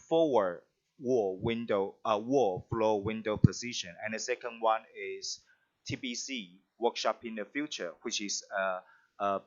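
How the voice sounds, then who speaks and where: A man hosting an online performance event over a video call, talking in a halting way with many restarts. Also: fairly low pitch, fairly bright tone, highs cut off. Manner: halting, with frequent restarts and fillers. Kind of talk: live-stream hosting, introducing guests.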